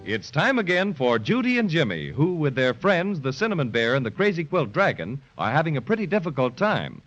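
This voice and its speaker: A man's voice: fairly high pitch, very animated, fairly quick, music in the background. A man narrating a children's story in 1930s radio storytelling style. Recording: muffled vintage 1930s radio transcription.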